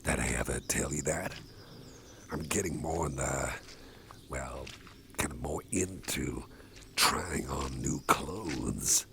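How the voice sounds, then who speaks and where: A man voicing a huge, intimidating male boss who is gruff but protective, chatting and rambling in an ASMR roleplay with a deep, gravelly voice. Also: takes it slowly, long pauses, noisy background, exaggerated voice. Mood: easygoing. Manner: rambling, chatty, chuckles to himself. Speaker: boss character carrying the listener. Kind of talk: ASMR roleplay, personal attention.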